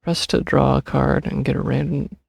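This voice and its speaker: A gameplay streamer with a gravelly, husky voice, commenting casually and offhandedly into a close mic. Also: medium pitch, fairly even intonation, moderate pace, darkish tone, no echo. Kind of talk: casual gameplay commentary, reading choices aloud. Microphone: close microphone over game audio.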